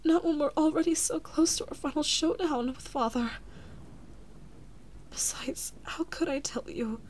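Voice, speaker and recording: A woman playing a devoted, anxious big-sister princess and lover in an intimate audio roleplay, speaking softly and haltingly with breathy, emotional whispers. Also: high voice, a little hiss or hum. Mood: terrified, pleading.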